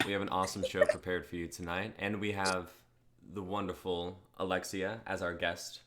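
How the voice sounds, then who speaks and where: A young man with a low-pitched voice hosts a casual livestream show. He talks informally as he introduces the program. The home recording carries noticeable noise. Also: little pitch movement, moderate pace. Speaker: host of a livestream reading show.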